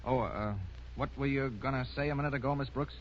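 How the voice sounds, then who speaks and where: A folksy small-town policeman played by a male actor in a 1930s radio drama. He talks informally and slangily at a medium pitch. The recording is muffled, with a faint layer of noise. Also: quick pace, close to an everyday voice. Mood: casual. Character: affable small-town policeman making a casual report.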